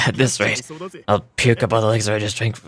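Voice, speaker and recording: A man's voice: on the low side, lightly acted. Man acting a wistful desert leader, music behind. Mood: rueful, tender. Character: doting older male desert gang leader, a rueful adoptive father. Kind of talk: video game character monologue reading a letter. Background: game music.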